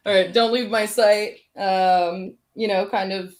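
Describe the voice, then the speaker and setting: A woman hosting a casual live-stream happy hour, with a slightly raspy voice, giggly and chatty with many fillers. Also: medium pitch, steady tone, measured pace, darkish tone. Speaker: winery happy hour host.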